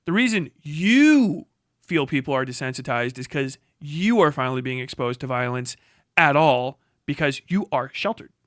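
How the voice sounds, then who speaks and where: A man's voice: mid-range voice, expressive, normal speed, fairly bright tone, no echo. An American man in a blunt, combative, profane livestream rant, speaking unscripted into a close mic. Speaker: livestream commentator.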